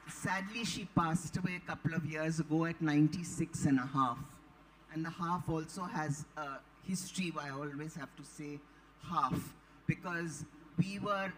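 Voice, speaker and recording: A woman's voice: sits low, fairly bright tone, poor audio. Older Indian-accented woman recounting anecdotes. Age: late 70s. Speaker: author and panelist. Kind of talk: anecdotal storytelling at a live panel.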